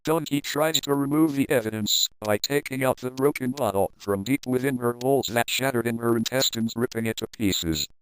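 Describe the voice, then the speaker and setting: A man's voice: medium pitch, moderate pace, hardly any pauses, clean tone, low-quality recording. A man reading a comic fan fiction story aloud in a playful, crude, dramatic manner.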